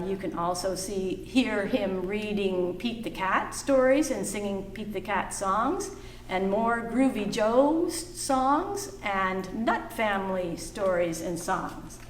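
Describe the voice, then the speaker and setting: A woman reading a picture book aloud to small children at a library storytime, singing the refrains. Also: mid-range voice. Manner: slow, singsong, animated for small children. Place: library room. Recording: echoey room, faint background noise.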